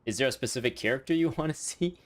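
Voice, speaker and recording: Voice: medium pitch, fast talker. A game streamer giving casual livestream commentary in a playful, giggly, rambling way. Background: game music.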